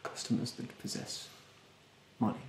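A young man playing a rude, snobbish, fastidious facial therapist in a soft, half-whispered ASMR roleplay, haughtily polite and hesitant. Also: echoey room, background noise, close to an everyday voice. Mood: snide politeness. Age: young adult. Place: bedroom, indoors. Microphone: close mic in front.

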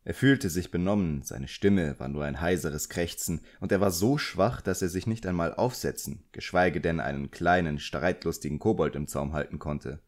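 Male narrator, low pitch, scripted literary storytelling, audiobook reading. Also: normal speed, hardly any pauses.

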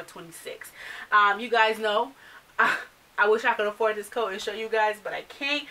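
A woman in her thirties whose first language is not English chats animatedly in a bright, forceful, fairly high voice. She talks to the camera from a small cluttered bedroom, sounding a little distant from the mic. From United States. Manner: chatty, animated, gestures while talking. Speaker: fashion vlogger. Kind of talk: casual chat to camera. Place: small bedroom filled with clothes and accessories.

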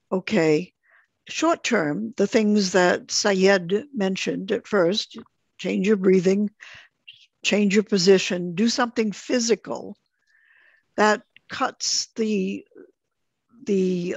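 Slow-paced woman teacher, slightly gravelly voice. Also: mid-range voice, no room sound. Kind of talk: explanatory online group lesson.

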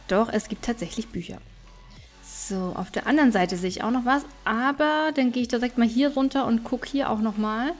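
A woman giving casual, chatty gameplay commentary, thinking aloud as she plays. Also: fairly high pitch. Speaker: let's player.

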